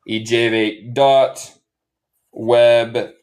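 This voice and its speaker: American man teaching in halting Spanish. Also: medium pitch, level tone, darkish tone. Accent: American English. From Michigan, USA. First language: English. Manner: halting learner's Spanish with fillers and English switches. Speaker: English teacher. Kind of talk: casual livestream lesson.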